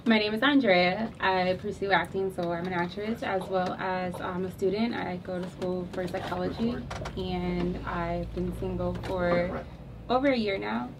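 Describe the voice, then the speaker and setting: A woman in her thirties speaks plainly and to the point as a returning guest. She sits a little away from the mic, with steady noise in the room around her. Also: on the low side, moderate pace, distant mic. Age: 30s.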